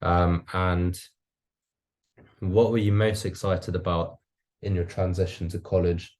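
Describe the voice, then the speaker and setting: A man's voice: low-pitched, little pitch movement, takes it slowly, darkish tone. A young man working through a semi-scripted interview as a student interviewer. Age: young adult.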